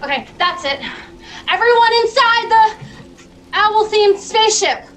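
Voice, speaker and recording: A woman playing a confident, teasing costumed crimefighter in a fan-made drama, with a brisk, assertive manner. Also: very high-pitched, animated, heavy background noise. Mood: impatient, commanding. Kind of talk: fan-made dramatic voice acting over video call.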